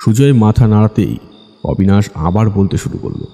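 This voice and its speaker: A male audiobook narrator reads a scripted ghost story. His voice is deep and extremely mellow, carried in a long reverb. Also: animated, normal speed.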